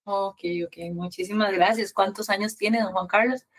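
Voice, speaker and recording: A woman's voice: mid-range voice, steady tone, moderate pace. A woman with a Costa Rican accent hosting a podcast, asking questions in a friendly conversational way. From Costa Rica. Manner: friendly, conversational, with short affirmations. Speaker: podcast host. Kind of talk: casual interview. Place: home in Barcelona.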